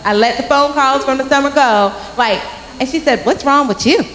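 High-voiced woman preaching in an echoey church. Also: normal speed, noisy background. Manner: animated storytelling, acting out quoted voices. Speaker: youth speaker. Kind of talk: church youth message. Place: large church sanctuary with congregation. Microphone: handheld microphone. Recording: echoey sanctuary from a far camera.

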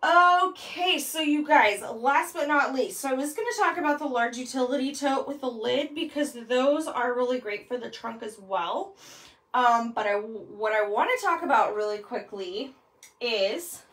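Chatty high-voiced woman in echoey room. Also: animated, measured pace, fairly bright tone, distant mic. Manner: chatty, upbeat, lots of ums. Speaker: bag consultant. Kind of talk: product demo vlog. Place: small room indoors. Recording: echoey room, mic set back.

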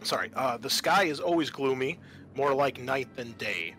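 A man's voice: mid-range voice, animated, moderate pace, sharp tone, soft background music. A man hosting a roleplaying podcast as game master, moving between casual chat and scene-setting narration. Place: online group call.

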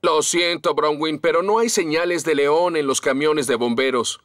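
A man's voice: a little high, moderate pace, a bit bright, natural voice. A man with a Latin American Spanish accent playing a friendly, dutiful fireman in a children's cartoon dub, helpful and reassuring.

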